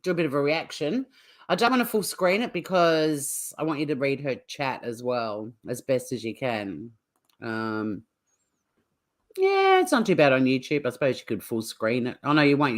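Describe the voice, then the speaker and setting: A woman hosting a casual livestream, chatting to viewers in an informal, teasing way. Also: very deep voice. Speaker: livestream host.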